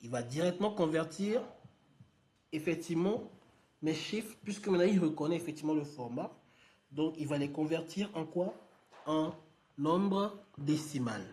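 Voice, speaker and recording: A man's voice: on the high side, expressive, moderate pace, darkish tone. A man leading a live online lesson, talking casually and thinking aloud with many fillers as he works on screen. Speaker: trainer.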